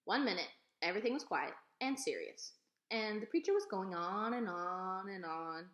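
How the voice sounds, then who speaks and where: A woman reading a children's book aloud as an expressive storyteller. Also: mid-range voice, moderate pace. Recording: echoey room.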